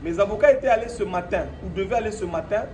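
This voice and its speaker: A Congolese man with a notably high voice speaks in an echoey room, some distance from the microphone. He delivers an improvised statement to the press, defiant and emphatic, hammering points by repetition and rhetorical questions. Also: quick pace. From Democratic Republic of the Congo. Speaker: speaker at his own press conference. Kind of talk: press statement mixing French and Lingala, partly improvised. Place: echoey room at his own premises. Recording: roomy, distant microphone, noisy background.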